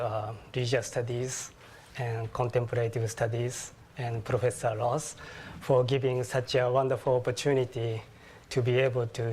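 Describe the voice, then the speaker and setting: Slow-speaking Japanese-accented male lecturer. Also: mid-range voice, a little mellow. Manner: slow, halting, with restarts and self-corrections. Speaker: guest lecturer. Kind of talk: academic lecture. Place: lecture hall.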